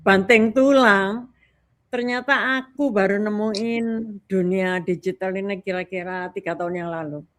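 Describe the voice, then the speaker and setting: An older woman in her sixties talks as a guest with an Indonesian accent. Her voice sits at a medium pitch, and her delivery is chatty and colloquial, with short tags at the ends of phrases. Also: steady tone, a little slow. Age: 60s. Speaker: talk guest. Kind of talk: casual chat.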